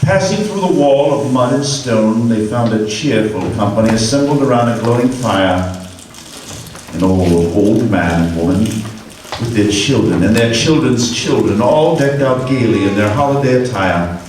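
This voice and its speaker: A man tells the story in a measured, expressive way on a live radio-play stage. He is heard from afar in an echoing room, with faint noise behind him. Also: medium pitch, distant mic. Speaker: narrator. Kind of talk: dramatic storytelling narration.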